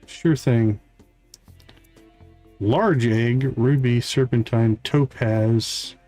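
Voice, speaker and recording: A man hosting a livestream, giving casual gameplay commentary and thinking aloud in an unhurried way. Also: medium pitch, fairly even intonation, dark tone, faint music behind. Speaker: livestream host.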